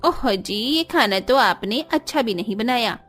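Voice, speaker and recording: Woman acting a high-voiced cartoon family woman. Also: animated, unhurried, fairly bright tone, soft background music. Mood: complaining.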